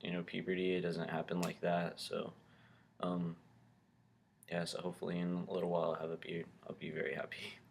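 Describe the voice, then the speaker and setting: A man's voice: sits low, monotone, a little fast, gravelly, slight background noise. A young man talking casually to his camera in a vlog, rambling with lots of ums and likes. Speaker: vlogger.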